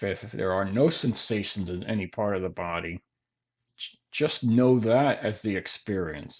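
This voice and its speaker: Man, deep voice, slightly husky, meditation teacher, gentle instruction, unhurried with long pauses. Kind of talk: guided body scan meditation.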